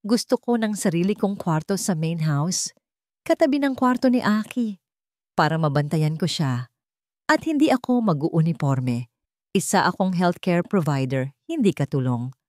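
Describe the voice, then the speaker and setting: A steadfast young woman who was wronged, played by a female storyteller, speaks with a cold and measured firmness. Her voice sits slightly low, in the dry sound of a narrated drama story. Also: lively intonation, a little mellow, no echo, natural voice. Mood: firm, demanding. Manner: firm, measured, resolute.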